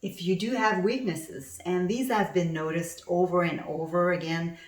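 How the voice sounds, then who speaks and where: Woman coach, lowish voice, teaching through personal stories, mic set back in a roomy space. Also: steady tone, normal speed. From Canada. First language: French. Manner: coaching tone, tells personal stories, poses questions to the audience. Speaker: communication coach. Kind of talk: online conference presentation. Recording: roomy sound from a distant mic.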